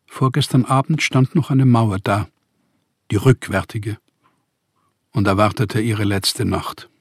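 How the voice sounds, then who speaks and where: Slow, husky, weary exiled writer. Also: male voice, lowish voice, no echo, clean studio sound, heavily acted. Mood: weary sorrow. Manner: slow, melancholy, measured. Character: weary, homeless, grieving older male writer in exile. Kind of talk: literary radio drama monologue.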